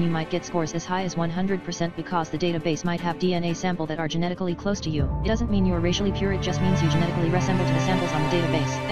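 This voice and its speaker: Fast low-voiced woman narrator. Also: highs cut off. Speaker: channel narrator. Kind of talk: scripted voice-over narration.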